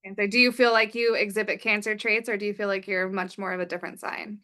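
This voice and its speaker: A woman hosting a podcast, chatty and asking quick, friendly questions. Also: mid-range voice, fairly even intonation, bright, forceful tone. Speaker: podcast host. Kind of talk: casual interview chat.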